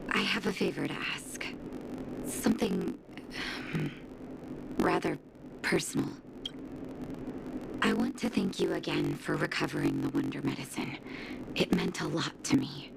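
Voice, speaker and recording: An actress playing a kind, caring adult doctor in a game cutscene, speaking gently and earnestly. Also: female voice, rumbling low, vocal fry, background noise, exaggerated voice. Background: game music.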